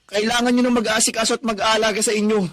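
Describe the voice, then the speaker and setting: A man's voice: high-pitched, little pitch movement, fast talker, fairly bright tone, dry recording. A man playing a poor, long-suffering, devoted son in a Tagalog radio drama, speaking earnestly and respectfully. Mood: pleading, anguished.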